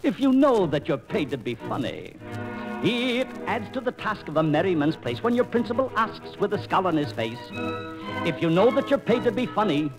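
A witty, weary court jester from a 1940s radio operetta. The voice is high and highly animated. The delivery is theatrical, rhythmic and archaic. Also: male voice, moderate pace, music in the background, rough recording. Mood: jaunty, wry. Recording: 1940s radio transcription disc.

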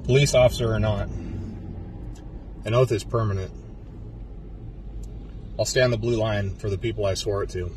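An American man in his thirties, a police officer, giving a solemn, deliberate prepared speech to camera in a car. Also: lowish voice, steady tone, a little mellow. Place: inside a car. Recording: slightly distant mic, faint car noise.

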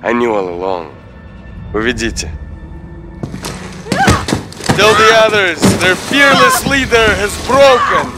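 An actor playing a cruel, condescending Russian army officer with a Russian accent in a video game cutscene. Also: male voice, high-pitched, big pitch swings, over loud music, exaggerated voice. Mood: cold menace. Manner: slow, menacing, condescending. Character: cruel, menacing male Russian army officer interrogating prisoners.

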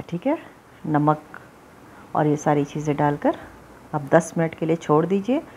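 A woman's voice: on the low side, a little mellow. A woman talking through a home recipe in a chatty, easygoing way, often checking in with "okay" as she goes. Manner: chatty, repeats "theek hai" often. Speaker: cooking channel host. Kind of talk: casual recipe demonstration. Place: home kitchen, voice-over.

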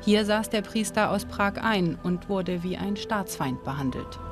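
Female documentary narrator, measured and even, mid-pitched voice, voice-over laid over clear music. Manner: calm, measured storytelling. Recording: studio voice-over with music behind.

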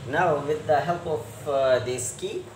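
Slow, halting man in an echoey room. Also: fairly high pitch. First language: not English. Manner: halting, short phrases with many hesitations. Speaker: repair tutorial presenter. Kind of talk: hands-on repair tutorial narration. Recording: roomy echo with clear background noise.

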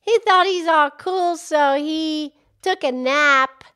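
A woman voicing an excitable, childlike pig puppet with an eager, chatty, exclamatory manner in a children's puppet show. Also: sits high, takes it slowly, heavily acted. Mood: cheerful, eager. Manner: eager, exclamatory, repeats phrases.

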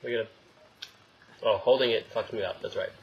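A man's voice: fairly low pitch, steady tone, fairly quick, highs cut off. A young man giving casual, sweary let's play commentary, grumbling and talking to himself and the game. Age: young adult. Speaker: gaming video host. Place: room indoors at home. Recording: game noise behind the voice. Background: video game sounds.

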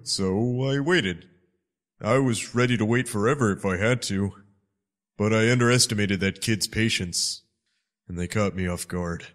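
A man's voice: fairly low pitch, a little slow. A man playing a sardonic, grimly resigned guardian in a dramatic fan dub, teasing as he goes. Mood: wry recollection. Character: wry, taunting male guardian, grimly resigned, loves stalling. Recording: loud music behind the voice.

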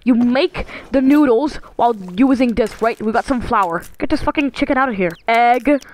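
A woman's voice: on the high side, moderate pace, lightly acted. A woman voicing a cocky, foul-mouthed young stick-figure chef in a casual fan cartoon dub, slangy and brash. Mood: brisk, busy. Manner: slangy, brash, muttering along while working.

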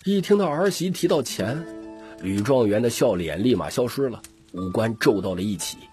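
A man with a gravelly, husky voice narrating an audiobook story expressively. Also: medium pitch, expressive, normal speed, dark tone, faint music behind.

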